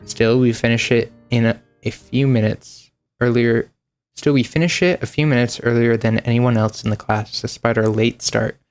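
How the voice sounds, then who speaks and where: A young man reads a visual novel aloud on a stream, relaxed and easygoing. His voice sits at an ordinary middle pitch, with casual asides between lines. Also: moderate pace, clear, resonant tone, faint music behind.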